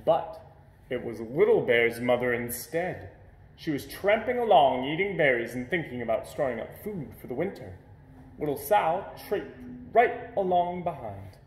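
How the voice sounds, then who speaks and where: Expressive man reading a storybook, echoey room. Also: mid-range voice, unhurried. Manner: expressive storytelling with playful sound words. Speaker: storybook reader. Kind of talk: children's picture book read-aloud.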